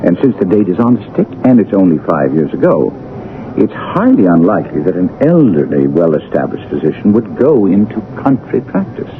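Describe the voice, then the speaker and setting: An actor playing a keen, self-assured detective with a brisk, emphatic, teasing manner in a 1970s radio drama. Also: male voice, low-pitched, very animated. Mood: confident, reasoning. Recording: tinny old radio broadcast, faint noise.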